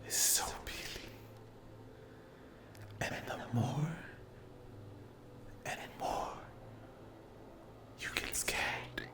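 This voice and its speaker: A man voicing a cruel, mocking sleep paralysis demon in a whispered ASMR horror roleplay, taunting the listener with menace and mock-sweet endearments in a breathy, gravelly voice. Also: mid-range voice. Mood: hungry, obsessive.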